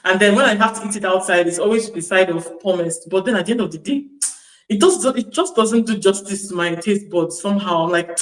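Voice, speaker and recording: A relaxed woman hosts a group chat at a mid pitch, agreeing often with soft backchannels. She sits back from the microphone, and the room adds a noticeable echo. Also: fairly quick. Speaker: podcast host. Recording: roomy, distant mic.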